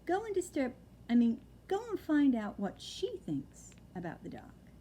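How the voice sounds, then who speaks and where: A motherly barn owl, voiced by a woman reading a storybook, with a mellow tone and a gentle but firm manner. Her pitch rises and falls in a lively way, and faint noise sits behind the voice. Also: unhurried.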